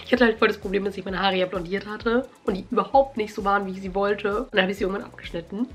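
A young woman talks to her camera from her bedroom, lively and chatty. Her voice is a bit nasal because she has a cold, and she is picked up by the camera's own mic at desk distance. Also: mid-range voice, rapid-fire. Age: young adult. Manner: chatty, animated, exclaiming. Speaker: YouTuber. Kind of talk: casual vlog chat. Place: bedroom at home.

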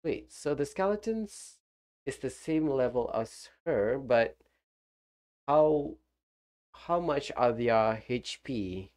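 A man's voice: mid-range voice, lively intonation, slow, a little mellow. A man streaming a video game who is phlegmy and still getting over the flu. He rambles casually and chats with his viewers as he plays. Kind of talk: casual live gameplay commentary.

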